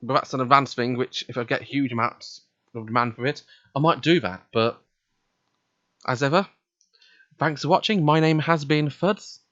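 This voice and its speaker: A man's voice: medium pitch, normal speed, pauses often, a bit bright, no echo, lo-fi sound. A man hosting a let's play, reading visual novel narration aloud and commenting casually, stumbling now and then.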